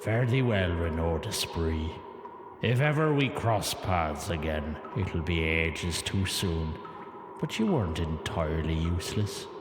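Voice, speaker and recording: Deep mocking sidekick, foul mouthed n wry, lots of echo, fantasy podcast. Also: male voice, animated, unhurried, background noise. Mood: sardonic farewell. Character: sardonic, crude, mocking male henchman of a sorcerer. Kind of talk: audio drama acting. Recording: echoey cave reverb, music underneath.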